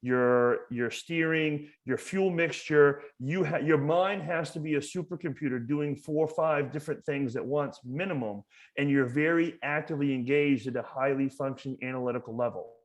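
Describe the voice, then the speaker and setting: A man's voice: a little high, normal speed. A man from Maine, a museum educator, talking as a podcast guest in a passionate, emphatic way, often repeating words as he builds a point. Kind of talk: casual conversational interview.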